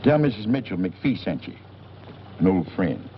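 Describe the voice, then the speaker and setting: An actor playing a plain-spoken small-town local in a 1940s film noir. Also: male voice, sits low, big pitch swings, moderate pace. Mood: gruff small talk. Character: plain small-town local man giving a stranger a lift. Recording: muffled old film soundtrack with hiss.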